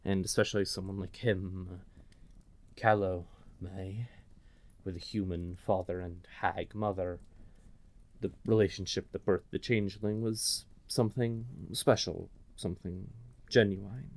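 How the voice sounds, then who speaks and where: A man narrating a scripted fantasy story in a measured, dramatic way. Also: deep voice, fairly even intonation, a little mellow.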